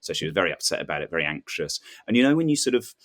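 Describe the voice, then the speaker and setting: A man, a comedian guesting on a podcast, telling rambling, self-deprecating anecdotes in a halting conversational way. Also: low-pitched, big pitch swings, a bit bright.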